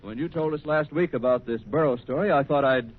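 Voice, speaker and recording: A man's voice: mid-range voice, brisk. A man with an American accent, a formal and polished announcer reading scripted lines in 1930s radio style. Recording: muffled, tinny old radio recording.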